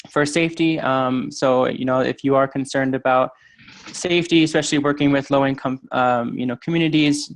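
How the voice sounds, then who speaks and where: A man presenting in an online briefing. He speaks in a flat, level tone and stops often to fill gaps and restart his sentences. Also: medium pitch. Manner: hesitant, many ums and restarts. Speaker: program staff presenter. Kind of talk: webinar presentation.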